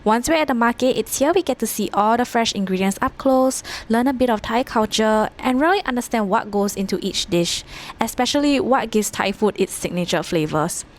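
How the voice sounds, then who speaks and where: A woman's voice: on the high side, fairly quick. A woman from Singapore giving an upbeat, scripted voice-over narration for her vlog. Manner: upbeat, enthusiastic. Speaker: vlogger.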